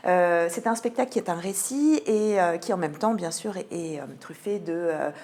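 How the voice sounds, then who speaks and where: A woman answering in an interview as a theatre programming manager, often pausing mid-sentence as she presents a programme. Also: fairly low pitch, a little mellow. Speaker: theatre cultural programming manager, radio guest.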